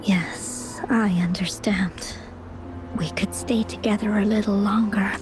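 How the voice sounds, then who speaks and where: An actress playing a frail, gentle but resolute ailing painter in a video game, with a soft, breathy delivery. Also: female voice, medium pitch, steady tone, slow, over music, natural voice. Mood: wistful, resolute.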